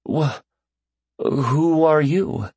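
A man's voice: on the high side, extremely slow, darkish tone, slightly rough, no echo, voice pushed up, heavily acted. Man voicing a wary, outspoken older mother. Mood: startled.

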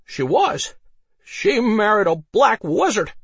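A man voicing a drunken, mocking, defiant commoner in an audiobook, with a slurred, excitable drawl. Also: high-pitched, slow pace, gravelly, husky, no echo, voice pushed up, exaggerated voice. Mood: drunken, insistent.

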